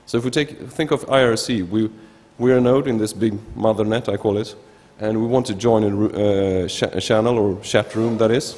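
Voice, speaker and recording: A man's voice: on the low side, fairly even intonation, fairly quick, hardly any pauses, a little mellow. Swedish-accented man in a reverberant hall. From Sweden. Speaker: researcher presenting at a conference. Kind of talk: informal technical conference talk. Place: large indoor conference hall, at a lectern. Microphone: gooseneck lectern microphone.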